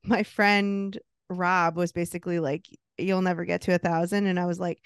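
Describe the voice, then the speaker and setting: A woman's voice: on the low side, flat delivery, normal speed, smooth, no echo, studio quality. A woman in her late thirties co-hosting a podcast, chatting casually and laughing often. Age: 30s. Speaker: podcast co-host. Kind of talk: casual chat.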